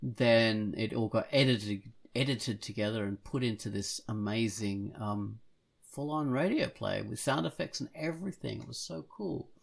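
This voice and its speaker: Creaky-voiced enthusiastic podcast host. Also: medium pitch, moderate pace. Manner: enthusiastic, effusive. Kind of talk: casual podcast chat.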